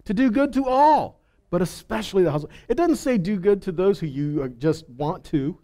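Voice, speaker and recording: An animated male preacher in his thirties, moving around a small stage with a headset mic. His voice sits fairly high for a man but has a dark, mellow tone. He shifts between teaching and reading scripture. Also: brisk. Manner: animated, gesturing while preaching, reads scripture aloud. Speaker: pastor. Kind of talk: sermon teaching. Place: small stage with purple lights, indoors.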